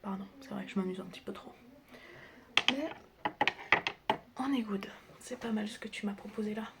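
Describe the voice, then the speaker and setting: A woman speaking softly and often whispering in a playful, teasing ASMR roleplay, casually addressing the listener as a friendly doctor. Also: mid-range voice, normal speed. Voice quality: soft, breathy, often whispering. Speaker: ASMR creator playing a chaotic doctor best friend. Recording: close recording with clear background noise. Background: background noise, clicking.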